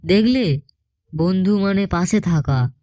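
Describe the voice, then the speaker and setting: A man voicing a small, kind young monkey in a children's cartoon story, speaking in a slow, earnest way. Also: high voice, lively intonation, warm tone, dry recording, clean studio sound. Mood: warm, earnest.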